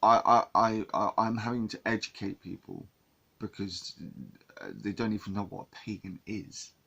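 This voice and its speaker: A man from England with a low, husky voice talks casually to camera. He rambles, restarting his sentences and repeating words as he goes. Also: fairly even intonation, moderate pace, fairly bright tone, lo-fi sound. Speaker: vlogger.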